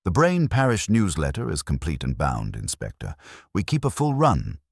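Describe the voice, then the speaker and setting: A man's voice: low-pitched, huge pitch swings, normal speed, slightly rough, no echo, studio quality, exaggerated voice. A man voicing a prim, exacting woman who keeps parish records, precise, formal and grudging, in a mystery story.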